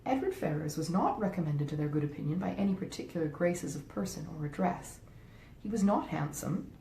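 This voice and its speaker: A woman reading a classic novel aloud as scripted literary narration. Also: dark tone. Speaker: audiobook reader, author reading a classic novel. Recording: roomy echo, faint rumbling noise.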